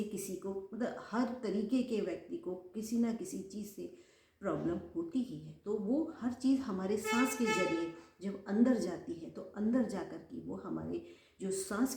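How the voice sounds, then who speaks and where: A woman's voice: medium pitch, warm tone, roomy sound. A woman YouTuber giving home remedy tips in a chatty way, repeating phrases for emphasis and addressing viewers as friends. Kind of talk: casual instructional talk to camera.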